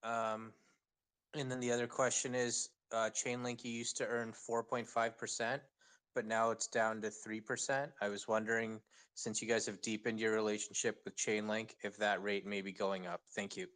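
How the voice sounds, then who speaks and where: A man's voice: fairly low pitch, flat delivery, normal speed, fairly bright tone, smooth. A man calling in with two concise, polite questions. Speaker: caller.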